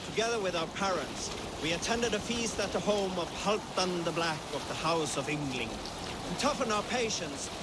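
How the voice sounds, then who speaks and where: A Viking seafarer tells a tale to the crew in a video game. The voice is high for a man and measured, with formal phrasing. Loud water and boat sounds surround it. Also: heavy background noise. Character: adult Viking sailor recounting a childhood tale to crew. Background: rowing boat on water.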